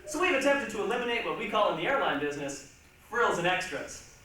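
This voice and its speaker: Casting: folksy male airline captain, played by a man, breezy and hungover, lively pitch, heard far off in a big echo. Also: fast talker, full character voice. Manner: breezy, rambling. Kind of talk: staged comic monologue. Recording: distant, echoey, noisy stage recording.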